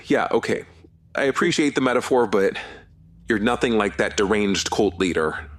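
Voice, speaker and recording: An actor playing a wry, anxious, loyal friend in conversational audio drama dialogue. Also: male voice, fairly low pitch, animated, normal speed. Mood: incredulous. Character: Theo, anxious, wry, loyal male cryptid-obsessed friend.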